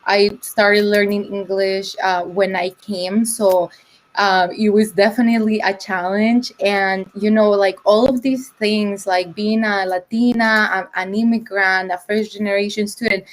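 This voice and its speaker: A woman's voice: medium pitch, fairly even intonation, a little slow, rough recording. Young woman on a video call, lots of likes and ums, chatty. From El Salvador. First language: Spanish. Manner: chatty, many fillers like "like" and "um". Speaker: conference presenter. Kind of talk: casual online presentation.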